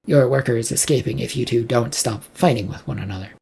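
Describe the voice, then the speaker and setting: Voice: mid-range voice, quick pace, creaky, a little mellow, slight background noise, natural voice. A narrator voicing a worried, urgent male project worker with an insistent manner, in an audio story.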